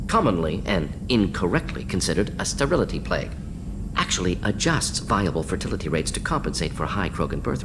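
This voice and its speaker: An actor playing a brisk, eager alien scientist in a video game, talking in clipped, telegraphic, analytical bursts. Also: male voice, fairly low pitch, background noise, lightly acted. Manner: clipped telegraphic sentences, rapid-fire, analytical. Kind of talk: video game character dialogue. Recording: game audio over humming ambience.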